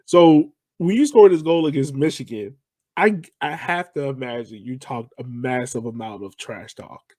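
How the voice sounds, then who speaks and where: A man's voice: mid-range voice, moderate pace, a little mellow, dry recording. A man with an American accent, interviewing as a podcast host in an informal, joking, teasing way. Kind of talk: casual conversational interview.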